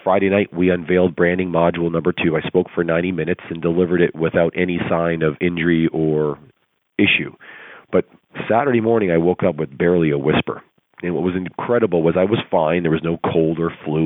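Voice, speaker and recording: A hoarse man recovering from laryngitis, giving an earnest, rousing motivational coaching talk with rhetorical questions over a conference call. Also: deep voice, steady tone, quick pace, no room sound. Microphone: telephone conference call. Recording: tinny phone line, dry.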